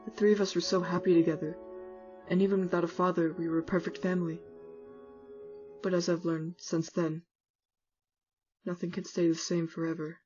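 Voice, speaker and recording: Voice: mid-range voice, flat delivery, moderate pace, pauses often, warm tone, husky, natural voice. An actor voicing a laid-back male skeleton, a wry and reflective older brother telling his story in a comic dub. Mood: wistful. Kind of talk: scripted first-person storytelling in a comic dub. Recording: muffled audio with music underneath.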